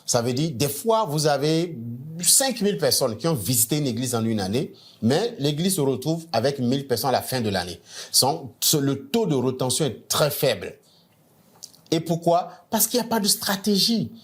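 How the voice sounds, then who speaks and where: A middle-aged man from Nigeria, a native English speaker, giving a measured teaching talk as a church management coach, often restarting and stressing key words. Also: medium pitch, sing-song.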